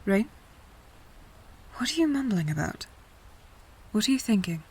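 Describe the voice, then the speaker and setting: A woman's voice: medium pitch, big pitch swings, unhurried, a little mellow, husky, heavily acted. A woman acting a devoted, worried friend in a fantasy audio drama, speaking earnestly and carefully. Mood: thoughtful. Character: Nadia, devoted, worried magical female friend. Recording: music under the voice.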